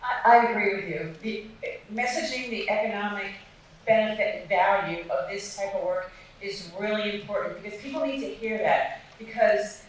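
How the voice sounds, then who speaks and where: A woman who chairs a local sustainability group, speaking earnestly and slowly from the audience. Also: medium pitch, slight background noise. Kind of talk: comment from audience. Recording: echoey hall from far off.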